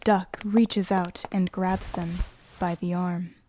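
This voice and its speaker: A woman playing a calm, formal artificial guide who narrates a simulation in an audio drama, measured and composed. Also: lowish voice, a little hiss or hum. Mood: composed narration.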